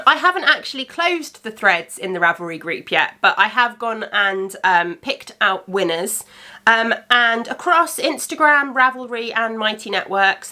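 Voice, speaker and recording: A woman's voice: a little high, bright, forceful tone. A woman in her thirties hosting a crafting podcast, chatting casually with many ums while a little congested from a cold. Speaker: podcast host. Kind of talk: casual chat.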